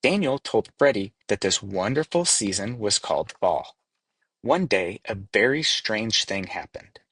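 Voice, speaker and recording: A man's voice: lowish voice, very animated, unhurried, a bit bright, breathy edge, no echo. A man narrating a storybook as a scripted read-aloud. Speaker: storybook reader.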